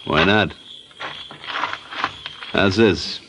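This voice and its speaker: A man's voice: low-pitched, extremely slow, background noise, full character voice. An actor playing a cornered small-time hired gunman in 1940s radio style, with tough, defensive street talk. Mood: menacing.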